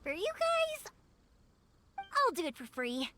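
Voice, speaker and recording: Anime game firework maker, young woman: cheerful, earnest, high and lively. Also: takes it slowly, soft background music, heavily acted. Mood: cheerful, friendly. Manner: bubbly, earnest, expressive. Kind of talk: anime video game voice acting.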